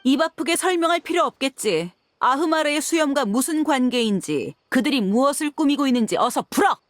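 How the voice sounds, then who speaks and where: A woman's voice: sits high, animated, brisk, no echo. A woman playing a tough, frank and confident mercenary in a video game, with a blunt, assertive way of talking. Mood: menacing.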